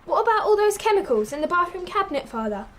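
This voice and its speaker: Very high-voiced cheeky girl. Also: sing-song, quick pace, a bit bright, full character voice. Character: cheeky, impertinent girl, the daughter of the house. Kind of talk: period radio drama acting.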